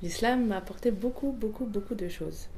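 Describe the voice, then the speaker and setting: A woman in her twenties speaks in a soft, mellow voice, pitched in a middle range for a woman. She talks haltingly, with frequent fillers and restarts, as she answers interview questions about her own experience. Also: a little hiss or hum. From Portuguese family. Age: 20s. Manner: hesitant, many fillers and restarts.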